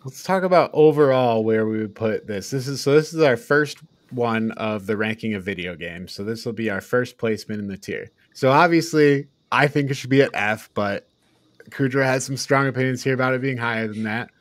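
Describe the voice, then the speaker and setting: A man's voice: mid-range voice, a little fast, no echo. A man co-hosting a podcast, giving blunt, opinionated takes in casual review banter. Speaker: podcast co-host.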